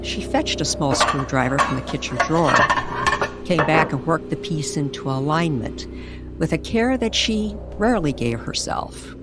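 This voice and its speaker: A woman narrating a scripted, old-time radio style mystery story with deliberate, suspenseful phrasing. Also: deep voice, expressive. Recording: music playing behind the voice. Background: music.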